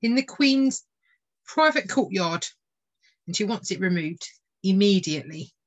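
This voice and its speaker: A woman's voice: medium pitch, lively intonation, measured pace, low-quality recording. A woman voicing a flustered, deferential royal lady-in-waiting in a storybook reading, anxious and proper.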